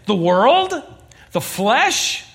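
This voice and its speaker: A man's voice: sits high, sing-song, very slow, vocal fry, a bit bright. A man preaching a sermon to a congregation in an earnest, emphatic way, pressing his points with rising intensity. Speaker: pastor.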